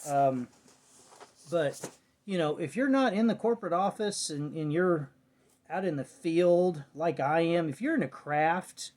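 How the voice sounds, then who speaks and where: A man's voice: fairly high pitch, unhurried, a little mellow. A middle-aged American man chatting casually and unscripted in a rambling, folksy, muttering way, as a vlogger. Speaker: vlogger showing a cassette collection. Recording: clear background noise, clicks, home recording.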